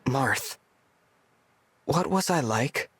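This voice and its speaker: An actor playing an earnest, soft-hearted young hero in a video game, hesitant and sincere. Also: male voice, mid-range voice, expressive, very slow, husky, no room sound, heavily acted. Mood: uneasy, sincere. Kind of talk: scripted video game voice acting.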